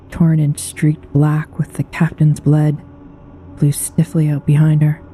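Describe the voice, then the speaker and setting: Dark-voiced woman narrating a horror tale. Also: sits low. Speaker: narrator, paranormal storyteller. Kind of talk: scripted horror story narration, read aloud.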